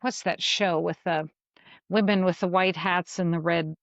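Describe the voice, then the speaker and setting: A woman talks as a podcast co-host in a casual, reflective style. Her voice has plenty of creak and she feels her way haltingly through her thoughts. The sound is dry and slightly lo-fi. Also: fairly low pitch, fairly even intonation, no room sound. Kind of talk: casual discussion of an audio drama.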